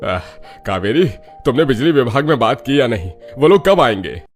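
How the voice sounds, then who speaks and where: An actor playing a distressed, whining, demanding husband in a cartoon story, groaning and complaining. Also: male voice, mid-range voice, very animated, normal speed, soft background music, natural voice. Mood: suffering, irritated. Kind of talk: Hindi cartoon story acting.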